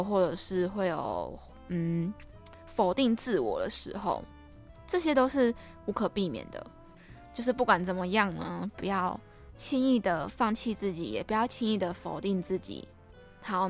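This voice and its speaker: A woman with a Taiwanese Mandarin accent hosting a podcast in a conversational, encouraging and reassuring way. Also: medium pitch. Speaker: podcast host.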